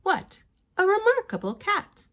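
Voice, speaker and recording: An impressed policeman in a children's storybook, played by a woman reader. He is emphatic and admiring, drawing his words out in a high, swooping tone. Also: huge pitch swings, a little slow, a bit bright, dull audio, heavily acted.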